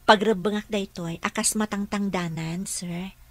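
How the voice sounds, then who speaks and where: A woman's voice: medium pitch, steady tone, measured pace, dry recording, lightly acted. A woman acting as a polite, earnest caregiver and protective mother in a radio drama, with an Ilocano accent.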